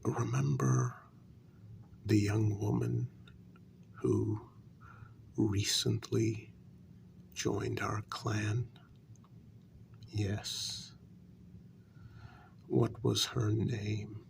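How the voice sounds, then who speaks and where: A man voicing a measured, insinuating vampire who serves his queen, in a soft-spoken, mostly whispered ASMR role-play with deliberate, drawn-out pauses. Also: fairly low pitch, vocal fry, background noise, exaggerated voice. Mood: quietly insinuating.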